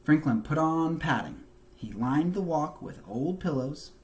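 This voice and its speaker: A man narrates a children's storybook in a very lively, swinging intonation. His phrasing is measured and emphatic, like a teacher reading to young children. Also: on the high side.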